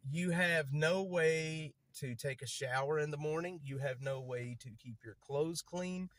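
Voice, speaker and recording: A man's voice: a little high, measured pace, a bit bright, a little hiss or hum. Man with a stutter. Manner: rambling, repeats himself, many fillers. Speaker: livestreamer. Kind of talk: casual livestream chat. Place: outdoors on a city street, moving around.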